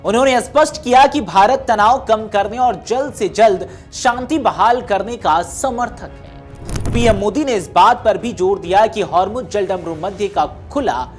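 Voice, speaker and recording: Female news narrator over background music. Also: mid-range voice. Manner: formal, measured newsreader delivery. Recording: music behind the voice.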